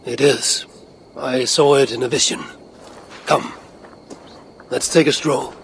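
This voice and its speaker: Proud, commanding Norse god. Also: male voice, medium pitch, steady tone, slow pace, a bit bright, slight background noise. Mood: persuasive. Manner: commanding, formal, persuasive. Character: proud, determined, scheming male Norse god. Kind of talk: video game dramatic acting.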